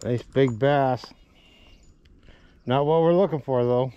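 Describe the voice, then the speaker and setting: A man talks casually through his fishing as a vlogger. His voice is dark and relaxed, sitting at an average pitch. He is recorded outdoors on a body-worn camera. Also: takes it slowly, clear, resonant tone, highs cut off. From Canada. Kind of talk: casual running commentary while fishing. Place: outdoors at a small pond bank. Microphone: body-worn action camera.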